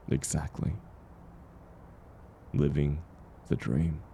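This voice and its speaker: A man playing a kind, world-weary drifter in an audio roleplay, talking gently and unhurriedly to encourage a stranger. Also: very deep voice, drawn-out words, vocal fry, a little hiss or hum, full character voice. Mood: hopeful, tender. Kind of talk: intimate comfort audio roleplay.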